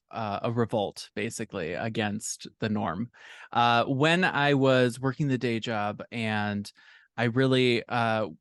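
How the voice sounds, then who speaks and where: A man with a medium-pitched voice talks as a guest author on a podcast. His delivery is casual and conversational, recorded very dry with no room sound. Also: no room sound. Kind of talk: casual interview chat.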